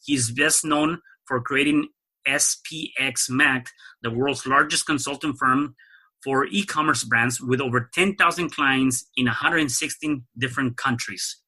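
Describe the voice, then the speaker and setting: Man, podcast host, bright tone, husky, enthusiastic, repeats words. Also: mid-range voice, steady tone, unhurried, low-quality recording. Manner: enthusiastic, repeats words when starting a thought. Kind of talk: casual podcast interview.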